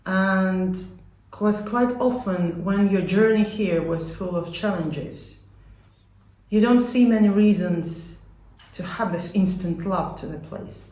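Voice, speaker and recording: A woman with a Latvian accent giving measured, earnest explanations as an interviewee about her work. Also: mid-range voice, darkish tone. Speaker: community support organisation worker being interviewed. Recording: echoey room.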